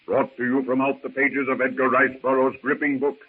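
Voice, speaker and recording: A man's voice: mid-range voice, little pitch movement, fairly quick, breathy edge, natural voice. A man narrating a 1930s radio serial in a clipped, breathless, melodramatic style. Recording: noisy, tinny old radio recording.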